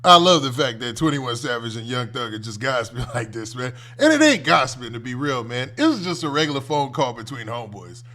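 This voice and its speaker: A man's voice: medium pitch, sing-song, a little fast, hardly any pauses, pushed and bright. An American man hosting a commentary stream, reacting off the cuff in a casual, colloquial, conversational way. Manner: conversational, colloquial, often ends phrases with "man". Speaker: host of a commentary livestream.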